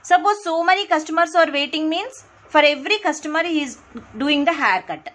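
A woman with an Indian English accent giving a whiteboard lecture, repeating phrases and pausing on fillers as she draws. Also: high-pitched, expressive, fairly bright tone. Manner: many fillers and restarts, repeats phrases to stress points. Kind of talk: whiteboard lecture, explaining while drawing. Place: indoors at a whiteboard. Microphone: close mic, speaker off camera, only hands seen writing.

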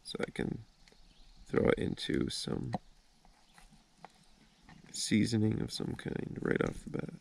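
A man in his thirties talks viewers through camp cooking in a relaxed, chatty way, his voice low with a gravelly edge. He's outdoors at a wooded campsite, filmed on a wearable action camera, with a faint hiss of outdoor noise behind him. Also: slow pace, pauses often. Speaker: fishing and camping vlogger. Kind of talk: casual how-to narration to camera.

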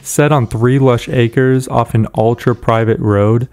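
A man with a smooth, resonant voice reads a polished script at an even, measured pace. His pitch sits in the ordinary middle range for a man. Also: soft background music. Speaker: narrator. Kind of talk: scripted narration.

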